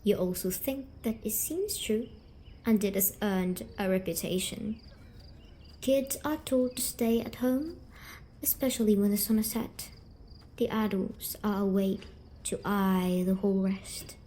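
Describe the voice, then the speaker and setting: Mellow, slow-speaking woman in whispery ASMR storytelling. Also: medium pitch, slight background noise, poor audio. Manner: soft, slow, coaxing delivery slipping into whispers. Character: gentle, patient, eerie female sleep paralysis demon comforting a dreamer. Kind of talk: scripted ASMR roleplay storytelling.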